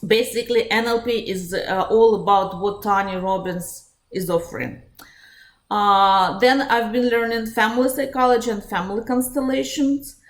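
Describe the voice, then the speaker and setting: A woman from Russia speaking English with a Russian accent, running a live online webinar as a psychologist and life coach. She speaks in an earnest, direct way, often pausing on "uh", at an ordinary pitch for a woman. The sound comes from a computer mic on a live stream. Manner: earnest, direct, frequent "uh" fillers.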